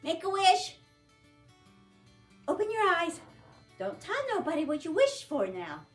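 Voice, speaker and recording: Woman, children's storytime read-aloud, slow pace, lively swooping intonation, sing-song, music behind. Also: very high voice. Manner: sing-song, simple questions to child listeners. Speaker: children's librarian reading storytime.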